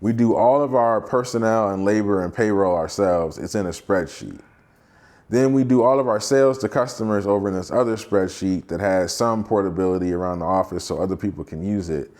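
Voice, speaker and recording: Man acting out a male business seller in an anecdote: breezy, smooth, reassuring salesmanship. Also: fairly low pitch, a little fast, a little mellow, lightly acted.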